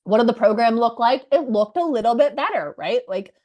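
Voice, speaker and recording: A woman in her thirties, hoarse from a cold, telling an audience the story of her launch in a chatty, energetic way with many asides. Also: on the high side, a little fast. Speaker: business coach presenting her launch story. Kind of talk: live presentation to an audience.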